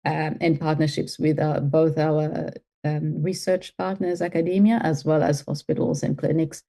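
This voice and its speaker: A woman from Mauritius speaks as an interview guest, her voice low and mellow. She thinks aloud, circling back with fillers and restarts as she builds each answer. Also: level tone, normal speed, creaky. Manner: thoughtful, with many fillers and restarts. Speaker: guest, health tech CEO.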